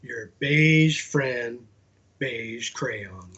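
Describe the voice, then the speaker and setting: A man voicing a proud, aggrieved beige crayon from a storybook, complaining slowly and deliberately. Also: medium pitch, a bit bright, lo-fi sound, slight background noise, voice pushed down.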